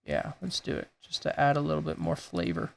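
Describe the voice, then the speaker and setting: A man with a raspy voice that is going hoarse, thinking aloud in a laid-back way while he builds in a game. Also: fairly high pitch, flat delivery, a little fast. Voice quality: gravelly, raspy. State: losing his voice. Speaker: gaming YouTuber. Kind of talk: casual gameplay commentary.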